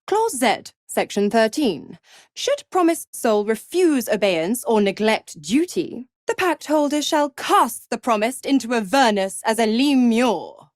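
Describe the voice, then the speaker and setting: A woman's voice: a little high, sing-song, a little slow, dry recording, clean studio sound. A woman voicing a sly, seductive devil in a video game, mocking and condescending, with a teasing theatrical drawl. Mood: smugly officious. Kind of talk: video game character acting.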